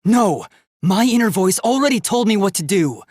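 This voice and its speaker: Casting: idealistic young robot for a dramatic game; youthful, faintly husky, formal and emphatic, very animated pitch. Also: male voice, normal speed, no echo, studio quality. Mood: fierce, defiant. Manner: earnest, formal, emphatic delivery. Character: earnest, determined young male robot, idealistic and emotional. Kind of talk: dramatic video game voice acting.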